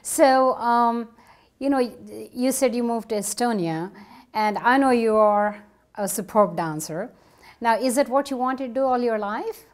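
A middle-aged woman hosting a TV interview in a living room, animated and eager, with a lapel mic. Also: a little high, measured pace. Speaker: TV host.

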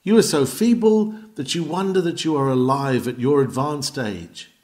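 A man's voice: medium pitch, normal speed, darkish tone. A man reading an old sermon aloud in an emphatic, exhorting manner, with old-fashioned oratory. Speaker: reader of a historical sermon.

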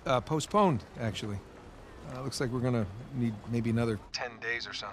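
Game adventurer played by a male actor: American, easygoing, slippery, conversational delivery over clear background noise. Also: mid-range voice, brisk, close to an everyday voice. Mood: evasive, reassuring. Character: easygoing, evasive treasure hunter, adult man. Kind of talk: video game acting. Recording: game audio over engine and ambient noise.

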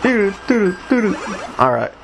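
Grumbling Mexican-accented gamer, higher voice. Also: male voice, sing-song, a little fast, creaky. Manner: grumbling, talks to the game characters, hums and repeats himself. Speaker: let's play commentator. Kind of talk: casual gameplay commentary. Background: retro game music.

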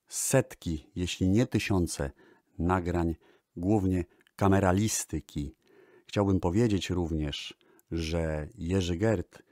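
Male radio-style music presenter, very deep voice, formal measured narration, close dry sound. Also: a little mellow, no echo. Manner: formal, measured, deliberate phrasing. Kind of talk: scripted narration in the manner of a radio music programme.

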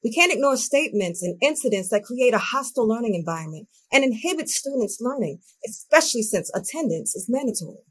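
A woman playing a measured, rule-minded school principal in a scripted dramatization. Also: a little high, expressive, husky, poor audio. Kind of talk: scripted dramatization on video call. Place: home room, video call.

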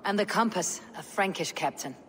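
A woman playing a stern, resolute Viking warrior in a game cutscene, speaking in a measured, grim, matter-of-fact way. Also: lowish voice, a little hiss or hum, close to an everyday voice. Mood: wary. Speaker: video game lead character. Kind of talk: dramatic game cutscene acting. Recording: reverberant stone hall, music underneath.

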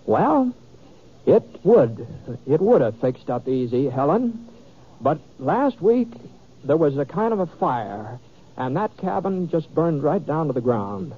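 A bashful, easygoing cowboy in a radio western. He stammers and hedges in a folksy drawn-in way, his pitch moving about a lot. The sound is tinny and narrow, like an old 1950s broadcast. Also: big pitch swings, breathy edge, heavily acted. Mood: sheepish, evasive. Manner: hesitant, halting, folksy.

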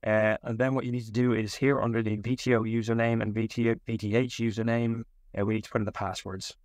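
A man with a fairly low voice leads a technical tutorial. He explains each step of a software setup in an instructional way. The sound is dry, with very little room in it. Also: level tone, fast, no echo. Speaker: tech support manager giving a tutorial.

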